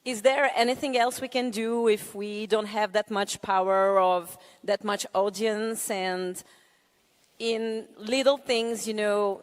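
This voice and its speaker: A woman from Belgium and Hungary asking a question from the audience, hesitant and searching for words. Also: mid-range voice. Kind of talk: question from the floor. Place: large hall with live audience. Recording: hall reverb.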